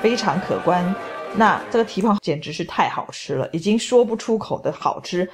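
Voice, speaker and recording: A middle-aged woman, describing food and sights in a measured, enthusiastic travel voice-over. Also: on the low side, expressive, over music. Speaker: travel vlog co-host. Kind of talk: casual travel commentary voice-over.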